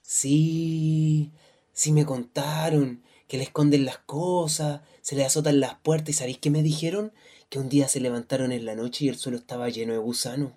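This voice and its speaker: A woman's voice: low-pitched, fairly even intonation, moderate pace, mellow. A woman playing a superstitious neighbour in a radio drama, confiding in colloquial speech with a Chilean accent.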